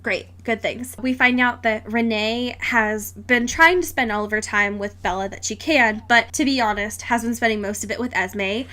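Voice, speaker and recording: Woman, podcast host, high pitch, sharp bright tone, casual comedic chat, sarcastic, giggly. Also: moderate pace, hardly any pauses. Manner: animated, sweary, sarcastic asides with frequent giggling.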